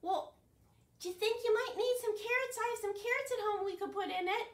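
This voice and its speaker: A woman's voice: very high-pitched, brisk, pitched above the performer's own voice. A woman voicing a helpful village woman in a children's folk tale, eager to share. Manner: eager, offering. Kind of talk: children's storybook telling. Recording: roomy, distant camera microphone.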